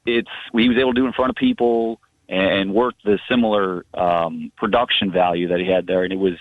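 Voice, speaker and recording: A man's voice: low-pitched, fairly even intonation, brisk, no echo. A man phoning in to a radio show, casually reminiscing in a rambling way with lots of "you know" asides. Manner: rambling, frequent 'you know' asides. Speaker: phone-in guest sharing memories. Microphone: telephone. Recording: muffled phone line on radio.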